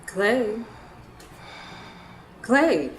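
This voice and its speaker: A caring, suspicious wife with a sharp tongue. Her delivery swings widely in pitch, as radio drama. The sound is roomy, with faint noise behind. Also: big pitch swings, drawn-out words, heavily acted. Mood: worried, coaxing.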